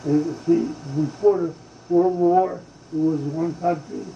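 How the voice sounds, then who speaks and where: An older man from Hungary, recalling his family's past in an oral history interview, speaking haltingly with many fillers and restarts. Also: on the high side, steady tone, gravelly, mellow, slight background noise. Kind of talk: slow reminiscing oral history. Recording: dull lo-fi tape in a roomy space.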